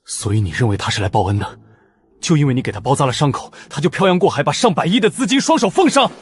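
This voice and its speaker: A man's voice: fairly high pitch, animated, quick pace, husky. A man playing an agitated, sceptical young associate in a Chinese drama. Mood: sceptical, incredulous. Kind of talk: Chinese audio drama dialogue.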